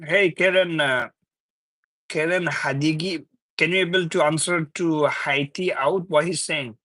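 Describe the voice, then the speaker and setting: A man's voice: a little high, a little slow, bright, forceful tone, no echo. A young man from Bangladesh hosting a casual late-night livestream, chuckling often as he reads viewer comments aloud and banters back. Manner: loose, repetitive, teasing banter. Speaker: livestream host. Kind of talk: casual live chat with viewers.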